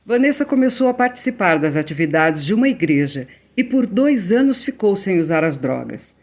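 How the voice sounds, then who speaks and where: A Brazilian woman narrating a documentary calmly and asking short interview questions. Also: fairly low pitch, moderate pace.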